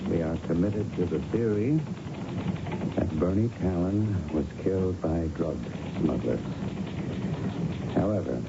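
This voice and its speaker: An actor playing a grave, persistent police lieutenant in a radio drama, speaking in a deliberate, formal, probing way. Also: male voice, low voice, vocal fry. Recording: dull, noisy old radio recording.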